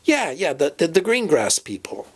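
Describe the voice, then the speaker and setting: Man putting on a doddering, husky, fussy professor. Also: fairly high pitch, wildly swooping pitch, exaggerated voice. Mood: fussy, exasperated. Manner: halting, muddled, fussy, repeats himself. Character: doddering, fussy, forgetful male professor planning an expedition. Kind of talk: improvised comic dialogue sketch.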